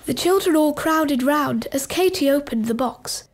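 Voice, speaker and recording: A woman's voice: high-pitched, animated, a little slow. A woman narrator reading scripted children's radio play narration in a storytelling style.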